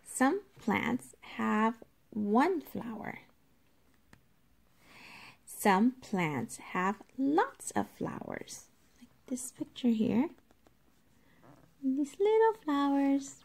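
A woman teacher reading a nonfiction book aloud to young children, explaining it in a deliberate, instructive way and addressing them directly. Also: high-pitched, sing-song, vocal fry, darkish tone.

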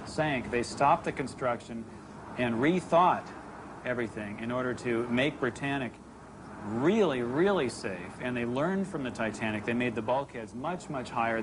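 A man's voice: mid-range voice, background noise. A man, explaining as an interviewed expert. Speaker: maritime artist interviewed as expert.

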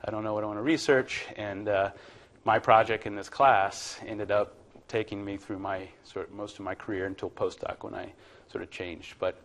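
A man in his thirties from Virginia giving a relaxed, chatty university lecture to a classroom, with plenty of ums and uhs. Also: on the low side, moderate pace, hardly any pauses. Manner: relaxed, chatty, filler-heavy.

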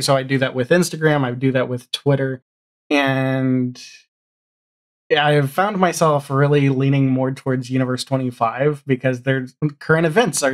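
Male podcast guest, medium-pitched voice, informal and meandering, checking in with the listener as he goes. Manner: rambling, thinks aloud, often ends on "right?". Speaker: guest, audio drama showrunner. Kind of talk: casual chat. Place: home room, video call.

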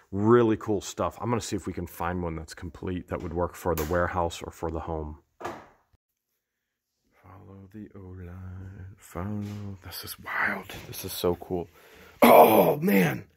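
A middle-aged man giving excited running commentary as he explores a big cluttered warehouse, filmed on a handheld camera. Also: sits low, slight background noise. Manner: enthusiastic, exclaims often, thinks aloud. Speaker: vlogger picking for resale. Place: large cluttered indoor warehouse.

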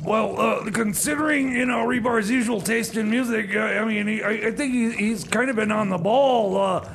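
A man playing an exasperated, halting sidekick and music host in comedy radio theater. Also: high voice, creaky, background noise. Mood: rambling. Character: exasperated, hesitant male time-machine mechanic's partner.

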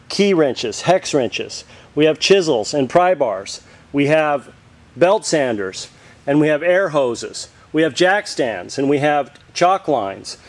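Male auctioneer, slightly raised pitch, reels off item lists on a camera mic in a warehouse. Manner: rattles off long lists of items. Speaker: auctioneer promoting a sale. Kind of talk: walk-through sales promo.

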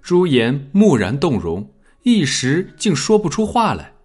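A man narrating a scripted dramatic story in an expressive storytelling manner. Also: a little high, measured pace, breathy edge, soft background music. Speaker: audiobook narrator.

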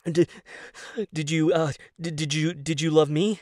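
A man's voice: on the high side, flat delivery, a little slow, a little mellow, dry recording, studio quality, pitched above the performer's own voice, lightly acted. A man voicing a panicked, needy husband who whines and stammers in an audiobook. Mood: hesitant, pleading. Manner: whining, stammering. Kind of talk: audiobook character acting.

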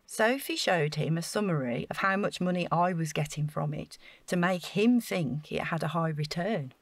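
A woman's voice: on the low side, moderate pace, no echo. An actress playing an earnest, naive council housing officer in an audio drama, chatty and flustered as she over-explains. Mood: eager, naive.

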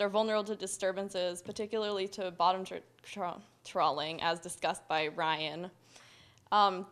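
A young woman presenting recommendations from a podium in a lecture hall, earnest and steady with occasional restarts. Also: medium pitch, clear, resonant tone. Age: young adult. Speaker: student presenter. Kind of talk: academic presentation.

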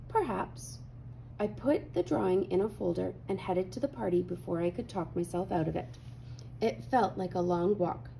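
A woman reading a children's picture book aloud with animated, dramatic emphasis. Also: medium pitch, gravelly, slight background noise, poor audio. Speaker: read-aloud host.

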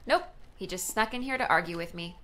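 A woman's voice: lowish voice, expressive, a little fast, a bit bright. A woman playing a wry, sarcastic young daughter in an audiobook drama, with a dry delivery.

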